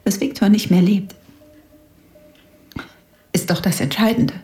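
An actress in a German radio drama playing a bereaved, bitter widow, speaking haltingly with breathy sighs. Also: female voice, mid-range voice, fairly even intonation, dark tone, faint music behind, lightly acted. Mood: weary, grieving.

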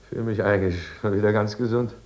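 Deep voiced man acting a nervy middle-aged drinker, old german radio play, careful wording, always justifying himself. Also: fairly even intonation, brisk, a little mellow, lightly acted. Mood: nervous, defensive. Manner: careful diction, hesitant and self-justifying. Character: anxious, evasive middle-aged alcoholic businessman confined in an asylum. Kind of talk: 1950s German radio drama acting and narration.

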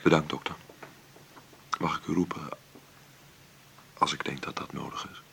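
An actor playing the anguished, tender grown son of a dying mother, speaking in a gravelly, hushed, faltering voice for a radio drama. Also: a bass voice, steady tone, normal speed, slight background noise, exaggerated voice. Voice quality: gravelly, whispery. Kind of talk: intimate radio drama acting.

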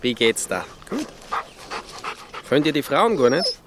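A man's voice: fairly high pitch, very animated, moderate pace, very noisy. A man playing a blunt, hearty mountain countryman with an Austrian accent, talking in a folksy, plain-spoken way. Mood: matter-of-fact. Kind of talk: audio drama dialogue. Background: music behind the voice.

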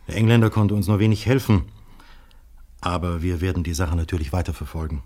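Deep-voiced, deliberate police official. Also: male voice, steady tone, a little mellow. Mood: calm, reassuring. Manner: measured, hesitant, deliberate, with thoughtful murmurs. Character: calm, fatherly, probing male police official. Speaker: actor in a radio crime drama. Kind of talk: 1970s radio play dialogue.

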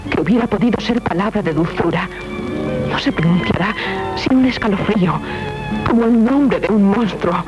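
A woman's voice: medium pitch, moderate pace, close to an everyday voice. An actress playing an anguished, guilt-ridden young noblewoman in dramatic, solemn 1970s radio theatre, with a Castilian Spanish accent. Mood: anguished, guilty. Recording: muffled old radio recording with music.

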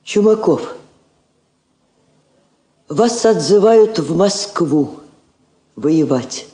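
A warm woman ambassador, soft-spoken and sincere. Her delivery is slow and measured. The sound is roomy, like a vintage radio drama. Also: fairly low pitch, lively intonation. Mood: sad, composed. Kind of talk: Soviet stage radio drama acting.

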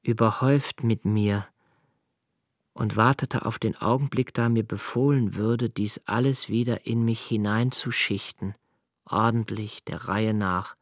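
An actor playing an introspective, haunted man who recalls his childhood in a literary radio play, with measured, precise phrasing and deliberate pauses. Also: fairly low pitch, fairly even intonation, no echo, clean studio sound. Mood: brooding. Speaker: radio drama narrator. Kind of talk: literary first-person radio play narration.

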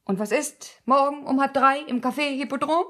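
An actress playing a sharp-tongued, scheming woman in a German radio play, pert and mocking in her delivery. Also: high-pitched, normal speed. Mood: interjecting, mocking. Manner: pert, mocking, acts out imagined lines.

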